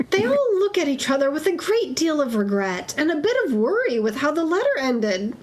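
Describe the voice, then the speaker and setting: High, lively woman reading aloud. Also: normal speed. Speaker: reader-narrator on a fanfiction reading stream. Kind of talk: casual live read-aloud with banter.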